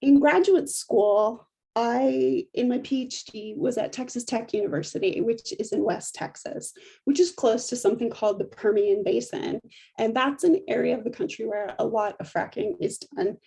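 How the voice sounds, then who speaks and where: A middle-aged woman professor giving a measured lecture, her voice a little affected by allergies, with frequent small restarts. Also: a little high, vocal fry, dark tone, slightly rough.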